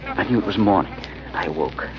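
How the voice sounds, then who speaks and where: An actor playing an earnest, haunted amateur sleuth who also narrates an old-time radio thriller, dramatic and slightly halting. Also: male voice, sits low, animated. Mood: brooding. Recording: muffled old radio with heavy hiss.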